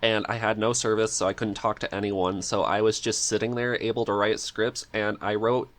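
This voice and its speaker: Low-voiced man in casual interview chat. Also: little pitch movement, a little fast, fairly bright tone. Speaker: podcast guest, script writer and amateur voice actor.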